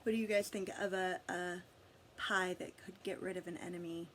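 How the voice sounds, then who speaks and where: A woman reading a picture book aloud to children, with dramatic emphasis, drawn-out words and asides to her listeners. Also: on the low side, steady tone, slight background noise. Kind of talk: storybook read-aloud with asides and questions to listeners.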